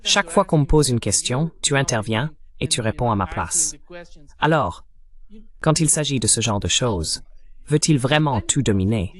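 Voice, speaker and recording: A man's voice: mid-range voice, animated, normal speed. A man's AI dubbing voice acting as the interviewer in a translated interview voice-over.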